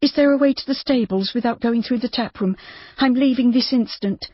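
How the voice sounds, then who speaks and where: Narrator playing a scared, headstrong young heroine, husky, muffled audio. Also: female voice, a little fast, no room sound. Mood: panicked. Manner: urgent, higher and tense. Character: frightened, headstrong young woman. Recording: dull muffled audiobook recording.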